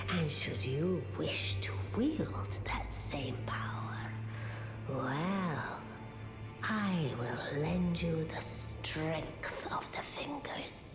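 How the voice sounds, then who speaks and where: An actress playing an ancient, solemn old female oracle in a fantasy game, with a breathy, aged voice and a reverent, portentous way of speaking. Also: sits low, echoey room. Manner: slow, reverent, portentous, archaic phrasing. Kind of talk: scripted fantasy game dialogue.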